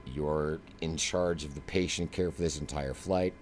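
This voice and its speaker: Low voiced guy streaming a sim demo, casual and slangy, noisy background. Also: steady tone, unhurried, darkish tone. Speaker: flight simulator streamer. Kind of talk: live game demo commentary. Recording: clear background noise.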